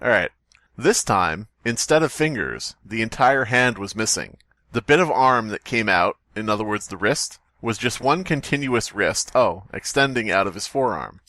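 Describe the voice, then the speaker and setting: A man's voice: on the low side, animated, moderate pace, sharp tone, no echo. A man hosting a comedy podcast, bantering and reading a story aloud for laughs. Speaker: podcast host.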